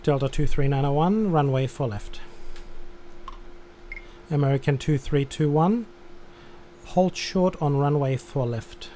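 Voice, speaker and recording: A man with a soft, mellow voice streams an air traffic control game. He gives tower instructions and adds his own commentary over light background noise. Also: on the high side, measured pace, long pauses.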